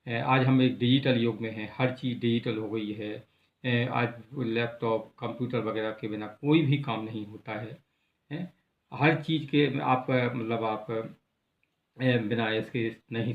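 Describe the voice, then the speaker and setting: An Indian man presenting an unscripted news explainer in a halting, repetitive way, leaning on filler words. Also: mid-range voice. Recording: echoey room, thin phone-like sound.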